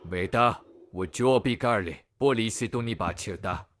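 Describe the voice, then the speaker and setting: A man playing a calm older elder in an animated moral story, deliberate and composed. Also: on the low side, close to an everyday voice. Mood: reassuring.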